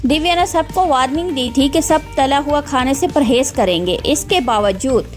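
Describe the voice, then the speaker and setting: A woman narrating a scripted cartoon story in an even storytelling manner. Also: high voice, moderate pace, soft background music.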